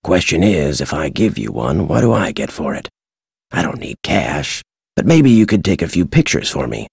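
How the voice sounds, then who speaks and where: An older American man voicing a crotchety retired birdwatcher in a video game, folksy and gruff with a husky, dry delivery. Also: a bass voice, very animated, moderate pace, a little mellow, no room sound, exaggerated voice. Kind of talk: video game character dialogue.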